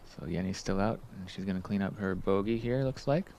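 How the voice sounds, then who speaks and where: A man's voice: deep voice, a little fast, creaky, a little mellow, slight background noise. A man giving casual live sports commentary as a co-commentator, speaking in a conversational way.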